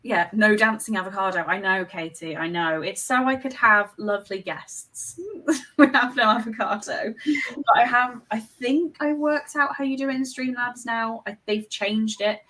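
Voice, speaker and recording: A woman's voice: on the high side, moderate pace, sharp tone. Young english girl streaming, giggly and rambly, kinda self-deprecating. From England. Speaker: host of a reading livestream. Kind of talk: casual chat on a video call.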